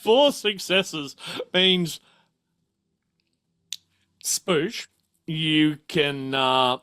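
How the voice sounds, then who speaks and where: A man running a tabletop game as game master, casual and easygoing, talking in halting bursts. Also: on the high side, lively intonation, sharp tone, clear, resonant tone. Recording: faint music behind. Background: faint music.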